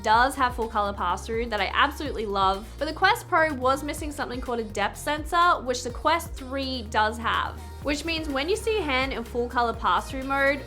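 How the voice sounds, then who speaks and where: A woman's voice: high voice, pushed and bright. A young Australian woman with a stuffy nose from a cold, giving an upbeat, chatty tech review to camera. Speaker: VR tech YouTuber.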